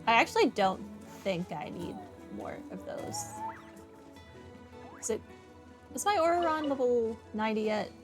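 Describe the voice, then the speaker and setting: A woman's voice: on the high side, very animated, measured pace, long pauses, over music. Wry woman streaming a game. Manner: wry, talks back to the game. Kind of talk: casual playthrough commentary.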